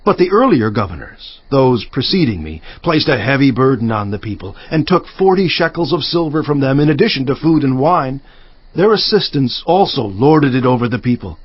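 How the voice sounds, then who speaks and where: An actor playing a resolute biblical governor, narrating scripture in a solemn, emphatic, declamatory manner. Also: male voice, mid-range voice, sing-song, moderate pace, slightly rough, no room sound, highs cut off. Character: resolute, indignant biblical governor recounting his own deeds. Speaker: narrator of dramatized audio Bible.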